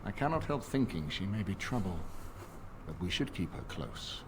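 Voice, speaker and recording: Shrewd, expressive older nobleman. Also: sits low, moderate pace. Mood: wry, wary. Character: shrewd older nobleman, courteous, cautious political adviser. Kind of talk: dramatic fantasy video game acting. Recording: game audio with clear background noise.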